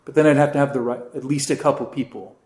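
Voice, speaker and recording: A man who is a priest, teaching a catechism class in a relaxed, chatty way with many asides. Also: mid-range voice, quick pace. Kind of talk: informal class talk. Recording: roomy echo.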